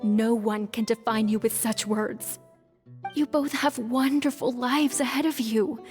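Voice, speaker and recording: A woman voicing a gentle, reassuring mother with a tender, soothing manner in a dramatic video game scene. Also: a little high, fairly even intonation, a little slow, lightly acted. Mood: tender, consoling. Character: gentle, reassuring mother of two young daughters. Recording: long reverb, music underneath. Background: music.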